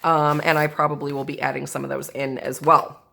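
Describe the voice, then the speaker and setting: Woman, lowish voice, a bit stuffy and sinusy, casual craft chat, thinks out loud and changes her mind. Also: level tone, brisk, creaky. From American Midwest. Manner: thinking aloud, indecisive, chatty with viewers. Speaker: planner and journal crafter. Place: indoors at a craft table. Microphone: camera over the table.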